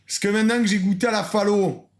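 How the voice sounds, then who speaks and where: A man's voice: high voice, fairly even intonation, quick pace. A French man streaming a reaction video in a casual, mocking way, laughing often and repeating lines.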